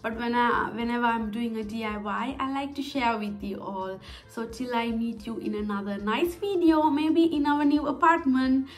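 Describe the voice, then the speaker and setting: A woman in her thirties talks through a home craft project in a friendly, chatty way, pausing on filler words. Her voice sits a little high. Clear background music runs under the whole recording. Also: steady tone. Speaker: DIY vlogger. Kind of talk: casual vlog narration. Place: home, indoors, with a toddler on her lap. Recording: music playing behind her voice.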